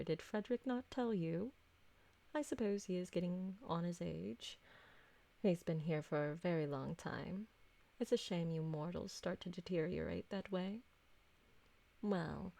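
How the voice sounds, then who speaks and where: A woman playing an ancient, refined and doting female vampire in an intimate ASMR roleplay, soft-spoken and tender, with genteel, formal wording. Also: on the low side, animated, a little slow, creaky. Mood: gracious, welcoming.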